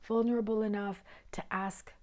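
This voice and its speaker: A woman doing a tarot reading for her viewers, her voice raspy and hoarse while she recovers, speaking earnestly and sometimes dropping to a whisper. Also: medium pitch, flat delivery, moderate pace, darkish tone. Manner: earnest and emphatic, drops to whispers, occasional cussing. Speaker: tarot reader.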